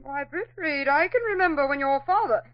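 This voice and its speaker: Woman playing a shrill, bossy old aunt. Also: brisk, lightly acted. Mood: indignant, scolding. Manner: indignant, fussy, old-fashioned. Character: crabby, bossy old spinster aunt, scolding and nagging. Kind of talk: 1940s radio acting. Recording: muffled old radio recording.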